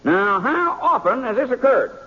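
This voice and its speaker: An actor playing a flustered, fussy frontier judge, husky and bumbling, in old-time radio western style. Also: male voice, very high voice, very animated, moderate pace, full character voice. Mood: officious, hesitant. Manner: bumbling, sputtering, throat-clearing. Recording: dull old radio recording.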